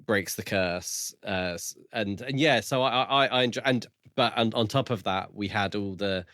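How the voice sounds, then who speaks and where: Man, lowish voice, hesitant measured podcast co-host, casual book review, dry close sound. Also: a bit bright, no echo.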